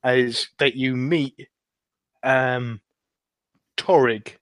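A man on a podcast panel casually recounting a video game quest, haltingly groping for words as he goes. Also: medium pitch, steady tone, dry recording.

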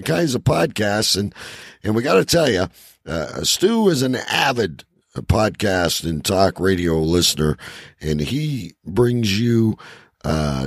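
A man with a low, gravelly voice hosts a comedy podcast. His delivery is lively and casual, with words drawn out for announcer-style emphasis as he chats and plugs shows. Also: dry recording, clean studio sound. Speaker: podcast host.

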